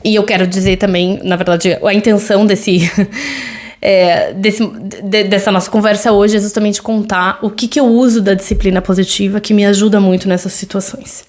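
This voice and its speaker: A woman's voice: medium pitch, fairly even intonation, normal speed, a little mellow. A Brazilian woman in her thirties with a Brazilian Portuguese accent, hosting a solo podcast and talking in a loose, conversational way, with many fillers and tag questions. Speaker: podcast host.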